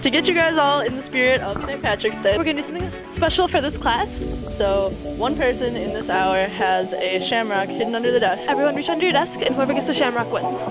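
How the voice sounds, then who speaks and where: A woman's voice: high-pitched, expressive, fairly quick, hardly any pauses. A teenage female student reporter speaking in a school news segment. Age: teens. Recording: loud music under speech.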